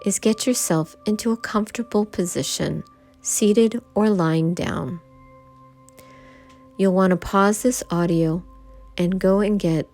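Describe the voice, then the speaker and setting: A woman guiding a yoga nidra meditation, giving gentle instructions in short phrases with pauses between them. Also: on the low side. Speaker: meditation guide. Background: background music.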